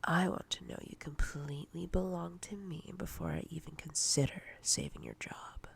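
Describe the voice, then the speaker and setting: A woman playing a domineering, menacing corporate boss in a breathy roleplay ASMR whisper, commanding and condescending in a deliberate way. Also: deep voice, fairly even intonation. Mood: menacing, controlling.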